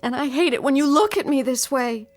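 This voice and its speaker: Radio drama role: determined, frightened woman played by an actress, high voice, intense drawn-out delivery, music beneath. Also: lightly acted. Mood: wounded, insistent. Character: determined, frightened long-waiting fiancée, pleading then defiant.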